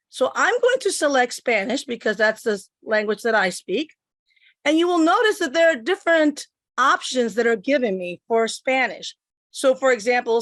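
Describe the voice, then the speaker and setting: Middle-aged woman from puerto rico, teacher demo at her computer, steady step by step, higher voice. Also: dry recording. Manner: step-by-step, explains while clicking. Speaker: teacher giving a tech demo. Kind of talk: instructional screen demo. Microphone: computer microphone.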